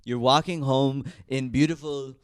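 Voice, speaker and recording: A man's voice: medium pitch, steady tone, measured pace, smooth, no echo, clean studio sound. A man co-hosting a comedy podcast, teasing and riffing in casual chat. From America.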